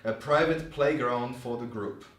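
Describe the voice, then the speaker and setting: A man's voice: mid-range voice, unhurried. Man, literary event reader and host, echoing room, far from the mic, live bar setting. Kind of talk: reading prose fiction aloud to an audience. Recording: roomy, distant live recording with faint noise.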